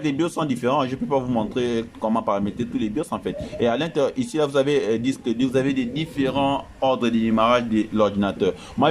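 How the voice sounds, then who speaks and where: A man's voice: mid-range voice, noisy background. A man who does not speak French as his first language, talking viewers through a computer setup in a casual, reassuring voice-over and calling them his friends. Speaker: tech tutorial host. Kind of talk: screen-recorded tutorial walkthrough. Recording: voice-over with loud background music.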